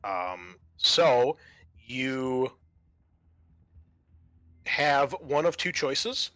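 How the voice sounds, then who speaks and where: A man's voice: medium pitch, sing-song, extremely slow, fairly bright tone, dry recording. A man acting as game master, explaining rules and situations conversationally in a tabletop roleplaying session.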